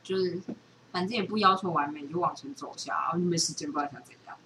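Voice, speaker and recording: A woman's voice: fairly low pitch, fast talker. A woman with a Taiwanese accent chatting casually as a podcast co-host. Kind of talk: casual chat. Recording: distant mic, clearly noisy background.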